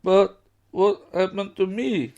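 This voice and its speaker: A man's voice: sits high, steady tone, takes it slowly, creaky, darkish tone, lo-fi sound, voice pushed up, heavily acted. A man with a Swedish accent voicing a timid, bewildered female cartoon pony, anxious and questioning.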